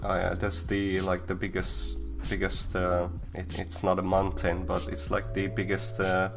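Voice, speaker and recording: A young man hosts a casual live stream in a lowish voice. He talks haltingly, piling up fillers and restarts. Faint guitar music plays behind him. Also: completely flat delivery, vocal fry. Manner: hesitant, many fillers and restarts. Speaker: host.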